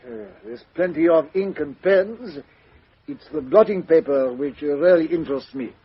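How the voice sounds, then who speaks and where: An actor playing a self-assured, fussy, courteous male detective with a French accent and precise, halting delivery in a radio mystery drama. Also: on the high side, husky. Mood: curious, probing. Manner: precise, smug, hesitant pauses and repetitions. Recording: dull lo-fi radio drama recording.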